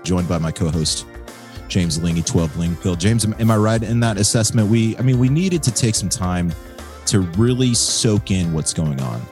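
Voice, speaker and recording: An American man hosting a podcast in casual, slangy chat, with a husky voice and a laid-back manner. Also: low-pitched, moderate pace, a little mellow, soft background music. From United States. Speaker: podcast host. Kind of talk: casual chat about motor racing.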